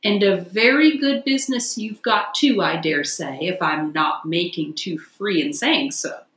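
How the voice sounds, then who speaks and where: A woman's voice: on the low side, a little slow, fairly bright tone. Female reader as big barge woman, hearty, chatty, respectful, working storybook character, echoey recording. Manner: polite, plain-spoken, chatty.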